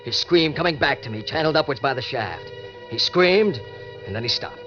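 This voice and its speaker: An actor playing a cynical, murderous schemer with a clipped, slangy, hard-boiled manner in an old-time radio crime drama. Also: male voice, medium pitch, animated, a little fast, a bit bright, natural voice. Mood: cynical, tense. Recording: dull vintage radio recording, music.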